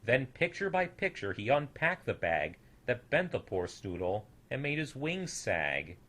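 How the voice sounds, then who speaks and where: A male storyteller narrates a rhyming children's tale in an expressive style. His pitch moves around a lot, the pace is unhurried, and the voice is slightly husky.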